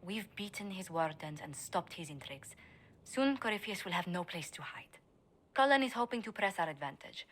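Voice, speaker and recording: An actress playing a composed, shrewd female advisor who speaks in a measured, formal way in a game. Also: fairly low pitch, animated. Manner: measured, formal, quietly persuasive. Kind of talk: video game voice acting.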